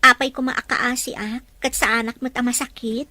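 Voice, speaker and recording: A woman's voice: a little high, flat delivery, moderate pace, sharp tone, no echo. A woman with an Ilocano Filipino accent playing a devoted, plain-spoken, long-suffering wife in a family drama. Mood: reproachful. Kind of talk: acted family drama dialogue.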